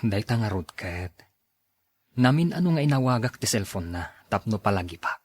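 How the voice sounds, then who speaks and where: A man playing a dutiful, kind-hearted grown son in a radio drama, earnest and coaxing in a husky voice. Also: lowish voice, very animated, normal speed. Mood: grateful, burdened. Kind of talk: Ilocano radio drama acting.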